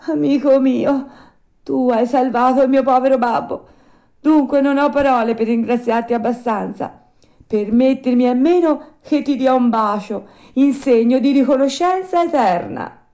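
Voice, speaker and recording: A woman's voice: medium pitch, lively intonation, measured pace, dark tone, voice pushed up, lightly acted. A woman voicing an earnest, cheeky puppet boy in a storybook, with emphatic, sing-song delivery. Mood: moved, grateful.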